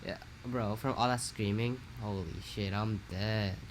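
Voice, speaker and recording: A man's voice: fairly low pitch, fairly even intonation, a little slow, noisy background. A young man co-hosting a casual podcast, talking in a rambling, profane, slangy way while losing his voice. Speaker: podcast co-host. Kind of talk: casual chat.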